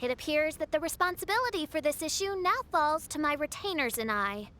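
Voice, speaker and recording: A woman's voice: sits high, expressive, brisk, sharp tone, slight background noise. A woman playing a haughty, theatrical young princess in a video game, pompous and formal with archaic grandeur. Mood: imperious. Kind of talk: video game cutscene acting.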